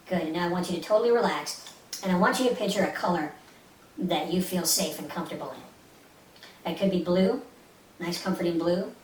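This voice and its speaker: A woman leading a guided meditation as an instructor, speaking in a soothing, instructive way with short repeated phrases. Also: lowish voice. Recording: roomy, distant mic with faint hiss.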